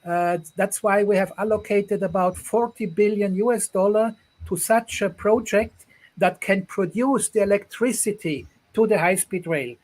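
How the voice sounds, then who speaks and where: High-voiced man, earnest, restarting phrases. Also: moderate pace, a little mellow, poor audio. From Ghana. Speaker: guest, rail project executive. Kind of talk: online panel discussion.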